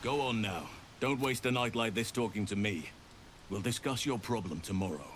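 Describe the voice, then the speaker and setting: An actor playing a calm, kindly druid in a video game, speaking in an unhurried, reassuring manner. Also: male voice, fairly low pitch, slight background noise, lightly acted. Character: calm, kindly male nature-loving druid. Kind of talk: video game dialogue acting.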